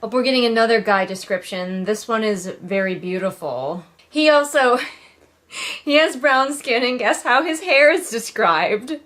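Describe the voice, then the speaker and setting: A woman giving casual, sarcastic read-along commentary as a book reviewer, with animated, mocking emphasis. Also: on the high side, measured pace.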